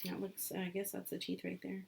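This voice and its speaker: A woman's voice: fairly low pitch, completely flat delivery, fairly quick, creaky, a little mellow, a little hiss or hum. A woman teacher reading a book aloud as a lesson, repeating key points and adding simple explanations for children.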